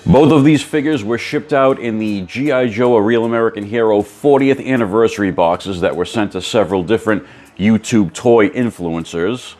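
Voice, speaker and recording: A hoarse man hosting a toy review video, chatty and informative. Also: lowish voice, normal speed, darkish tone.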